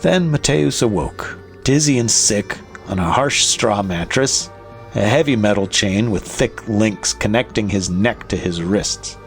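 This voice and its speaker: Low, gravelly male horror narrator. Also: animated. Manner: measured, deliberate, dramatic. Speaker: story narrator. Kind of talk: scripted horror story narration. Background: faint music.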